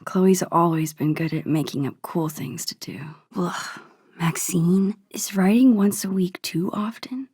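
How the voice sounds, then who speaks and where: A woman playing a shy, sweet, nostalgic teenage girl in a video game, musing softly and breathily to herself. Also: fairly low pitch, unhurried, dry recording, clean studio sound. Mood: wistful musing. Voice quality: soft, breathy, hushed. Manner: thoughtful, murmured musing to herself. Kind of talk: introspective game character monologue.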